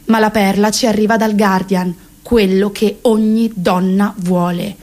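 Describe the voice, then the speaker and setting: A woman's voice: medium pitch, fairly even intonation, unhurried, darkish tone. A woman in her late twenties with a Roman accent performing a colloquial, sarcastic comic monologue, emphatic and full of rhetorical questions. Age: late 20s.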